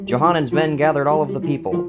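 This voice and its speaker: A man's voice: fairly high pitch, fairly even intonation, fast talker, over loud music. A man hosting and narrating a scripted dramatized story. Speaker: narrator and host.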